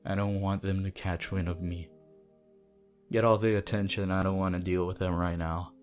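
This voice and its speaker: A man voicing a deadpan, drawling teenage anime hero in an ASMR roleplay, languid and teasing. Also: deep voice, normal speed, faint music behind, lightly acted. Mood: reluctant, tired.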